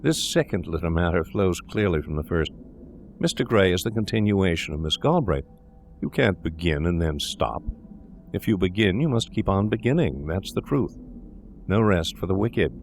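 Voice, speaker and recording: A man's voice: low-pitched, lively intonation, normal speed, slight background noise. A man voicing a cocky young medical assistant in an audio drama, jovially condescending and persuasive as a corrupting accomplice. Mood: coaxing, cynical. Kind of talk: dramatic audiobook character reading.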